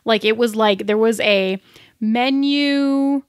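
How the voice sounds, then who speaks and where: High-pitched, playful woman podcaster. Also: slow, smooth, no room sound, clean studio sound. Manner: goofy, playful. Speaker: podcast co-host. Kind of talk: casual chat, playful banter.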